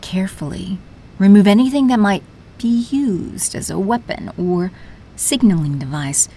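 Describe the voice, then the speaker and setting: A woman's voice: medium pitch, expressive, normal speed, mellow. A woman playing a cold, commanding villainess in an audio drama, imperious and coolly menacing in her delivery. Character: cold, commanding villainess, telepathic leader of henchmen.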